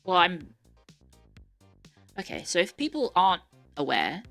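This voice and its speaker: A young woman from Ireland with a mixed Irish, English and Australian-tinged accent, chatting casually on a live stream, reading aloud with wry asides and quick self-corrections. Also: on the low side, huge pitch swings, creaky, fairly bright tone, faint music behind.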